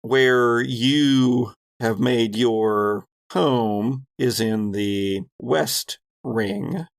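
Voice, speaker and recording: A man's voice: medium pitch, drawn-out words, vocal fry, dry recording. A man narrating and refereeing a tabletop role-playing game as game master, explaining things conversationally.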